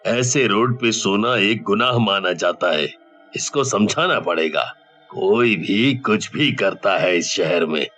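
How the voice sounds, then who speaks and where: Casting: man for middle-aged cop in a horror story, stern, sharp voice, unhurried delivery. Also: fairly low pitch, expressive. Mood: official, stern. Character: middle-aged male police officer, stern grieving father.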